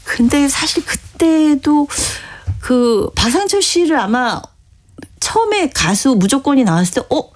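A woman hosting an interview, keeping her guest talking with questions and quick acknowledgements. Her pitch moves expressively across a wide range. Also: darkish tone. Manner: engaged, frequent short acknowledgements and prompting questions. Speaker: radio host.

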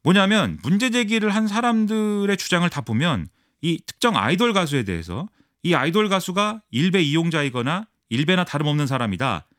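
A man giving fast, animated political analysis on a current-affairs radio show, explaining with many fillers. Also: fairly high pitch, dry recording, studio quality. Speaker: political commentator.

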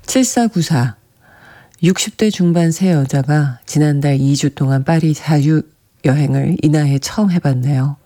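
A radio host with a soft voice that sometimes drops to a breathy whisper, chatting gently and reading listener messages on a classical music show. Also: mid-range voice, normal speed. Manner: gentle, chatty, often laughing softly.

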